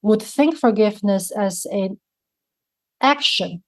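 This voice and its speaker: A woman with a Mandarin accent, a native Mandarin speaker, talking slowly and thoughtfully as a guest in an interview. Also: mid-range voice. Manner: slow, thoughtful, searching for words. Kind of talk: reflective interview conversation.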